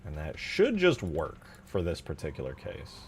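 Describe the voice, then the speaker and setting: A man's voice: rumbling low, huge pitch swings, moderate pace, a little mellow. A man thinking aloud in casual, informal commentary as he works at a computer, often muttering to himself. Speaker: streamer, game modder. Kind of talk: casual live commentary while scripting a game mod.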